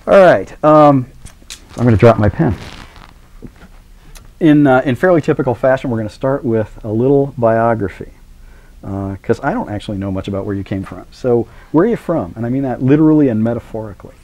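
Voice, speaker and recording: A man's voice: mid-range voice, a little fast, darkish tone. A middle-aged man introducing a guest in a university lecture, chatty and rambling, full of filler words and self-mocking asides. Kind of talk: live classroom lecture introduction.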